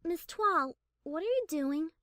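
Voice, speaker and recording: Woman as a very high-pitched, prim high-class mare. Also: expressive, measured pace, sharp tone, dry recording, studio quality, full character voice. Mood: confused. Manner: formal, proper diction. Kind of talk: fan fiction audio drama character acting.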